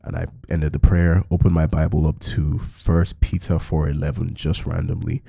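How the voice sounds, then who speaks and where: A man with a low voice and a gravelly, rough edge to it. He sounds dark in tone and talks in a meandering, reflective way, circling back on his points and filling the gaps with "like" and "you know". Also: level tone, normal speed. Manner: musing, loose, peppered with fillers. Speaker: podcast host. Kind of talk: reflective conversational chat.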